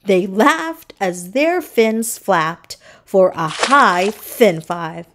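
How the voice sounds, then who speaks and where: A woman reading a children's storybook aloud as narrator, with dramatic, deliberate phrasing. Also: mid-range voice, animated, fairly bright tone.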